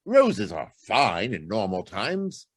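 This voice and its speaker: A man's voice: fairly low pitch, wildly swooping pitch, takes it slowly, dry recording, clean studio sound, heavily acted. An actor playing an incredulous male colleague in an old-time radio drama, emphatic and questioning as he tries to persuade. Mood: incredulous, urging.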